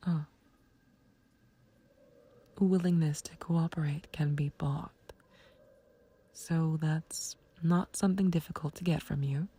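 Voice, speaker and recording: A woman playing a smug, taunting, playfully flirtatious villain in an ASMR roleplay, with a husky, breathy low voice and a teasing, unhurried, condescending manner. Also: fairly even intonation, warm tone, full character voice. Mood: teasing, reluctant bargaining. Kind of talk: ASMR roleplay audio drama.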